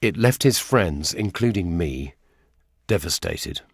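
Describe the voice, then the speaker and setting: British male radio presenter, middle-aged. Low, lively-pitched narration, recorded close and dry. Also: slow pace, breathy edge, no echo. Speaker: documentary presenter.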